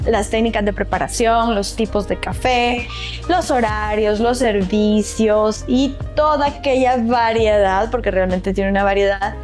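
A woman's voice: mid-range voice, steady tone, a little slow, darkish tone, soft background music. A woman in her forties with a Salvadoran Spanish accent, hosting a promotional interview in a small café, talking effusively in long lists. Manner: enthusiastic, effusive, many list-style phrases. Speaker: host.

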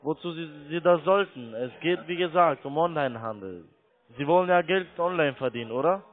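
A fairly high-voiced man, not a native German speaker, works a sales call over a thin phone line. He is insistent and blunt, talking like a call-centre agent. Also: brisk. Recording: tinny narrowband phone line.

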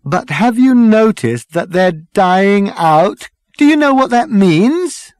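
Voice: medium pitch, huge pitch swings, measured pace, a little mellow, no room sound, studio quality, voice pushed up. A narrator playing an exasperated, sarcastic man in a comic science-fiction audiobook, speaking with incredulous emphasis.

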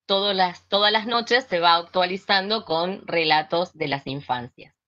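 A woman's voice: lowish voice, a little slow, fairly bright tone, dull audio. A woman with an Argentine accent presenting an organization's work over a video call. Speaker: event presenter.

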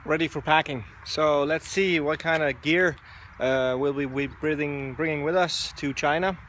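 A man in his forties films himself outdoors on a phone held at arm's length. He talks in a relaxed, halting way, with many fillers, and stops to search for words. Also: on the high side, a little hiss or hum. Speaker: dive instructor vlogger. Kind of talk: casual selfie vlog. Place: outdoors, covered shelter and lawn. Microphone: phone camera at arm's length.

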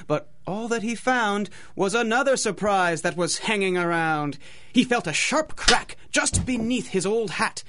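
A man's voice: sits high, sharp tone. A man narrating a radio drama in theatrical, sing-song rhyming storybook verse.

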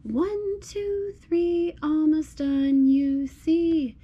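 A woman's voice: sits high, fairly even intonation, very slow, warm tone, highs cut off. A woman reading a picture book aloud to a small child at home, in an encouraging, sing-song way with simple repeated phrases. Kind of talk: child-directed storybook read-aloud. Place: indoors at home, on a blanket.

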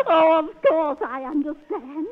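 An actress playing a genteel, gushing, fluttery society lady in an old radio comedy. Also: high voice. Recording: tinny, noisy old radio recording.

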